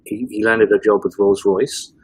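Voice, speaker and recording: Man, English accent with northern vowels, low voice, husky, rambling with restarts, dry recording. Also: little pitch movement, normal speed, darkish tone, dry recording, poor audio. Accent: English with some northern vowels. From grew up in a British Forces family in Germany. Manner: rambling, many restarts, nostalgic. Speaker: podcast guest. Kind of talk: casual interview chat.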